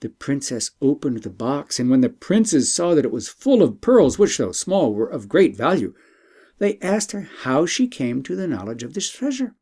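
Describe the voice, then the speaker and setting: A male audiobook narrator tells a tale in a mellow voice with a creaky edge. His phrasing is careful and formal, and his pitch moves with lively expression. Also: normal speed. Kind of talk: scripted storytelling narration.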